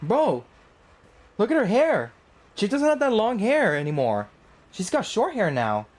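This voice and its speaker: A woman's voice: medium pitch, huge pitch swings, measured pace, a little mellow. A woman livestreaming a game with casual, slangy, exclamatory reactions and commentary. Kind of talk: casual live gameplay commentary, reading dialogue choices. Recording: game music behind.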